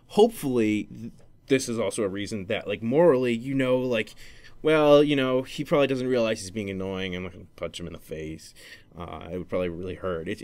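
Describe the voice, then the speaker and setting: Male podcast speaker, lowish voice. Informal and unscripted, halting delivery, works out his thoughts as he goes. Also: darkish tone. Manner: rambling, halting, thinking aloud; informal, self-interrupting, profanity-laced. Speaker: podcast speaker, comic creator. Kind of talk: casual podcast discussion of storytelling.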